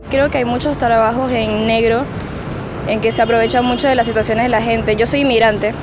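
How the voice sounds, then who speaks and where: A woman's voice: a little high, steady tone, brisk, music in the background. A woman giving a casual personal answer in a street interview. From Venezuela. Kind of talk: casual vox pop answer.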